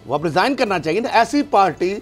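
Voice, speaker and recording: A man's voice: high voice, animated, a little fast, faint music behind. A man with a Pakistani accent in a political discussion, speaking emphatically and argumentatively with rhetorical questions. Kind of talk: heated political discussion, interjecting.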